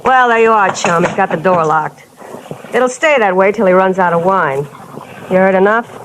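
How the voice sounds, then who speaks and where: An actress playing a sharp-tongued, sardonic young wife in a 1950s radio drama, with a clipped delivery. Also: female voice, mid-range voice, moderate pace, slight background noise, natural voice, poor audio.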